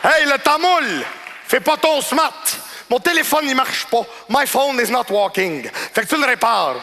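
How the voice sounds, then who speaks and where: A man voicing a gruff, pushy Quebecois customer with a Quebec French accent, blunt and swearing in a stand-up routine. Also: very high voice, animated, a little slow, pushed and bright, big-room sound, pitched above the performer's own voice, full character voice. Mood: furious.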